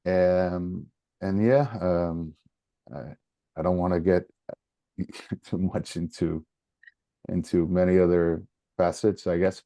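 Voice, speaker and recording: A man from Portugal with a Portuguese accent, talking casually as a guest in a hesitant, halting way. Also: low voice, steady tone, mellow, no echo, dull audio. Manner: hesitant, halting, repeating words. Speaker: podcast guest, soccer coach. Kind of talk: casual conversation.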